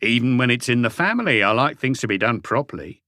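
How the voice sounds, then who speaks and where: A man's voice: mid-range voice, lively intonation, quick pace, pushed and bright, no echo, clean studio sound. A man voicing a self-satisfied, disdainful male suspect in his late twenties for an audiobook. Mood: smug. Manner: smug, insistent.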